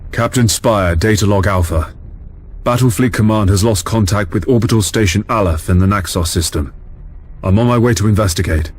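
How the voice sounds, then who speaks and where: An actor playing a resolute, stern starship captain in a game, brisk and authoritative. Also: male voice, lowish voice, slight background noise, close to an everyday voice. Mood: businesslike.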